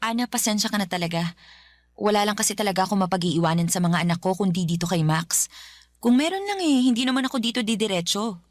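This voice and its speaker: A woman's voice: medium pitch, fast, no room sound, lightly acted. A Filipino actress playing an apologetic, hesitant, imploring young mother in a radio drama. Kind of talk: Tagalog radio drama acting.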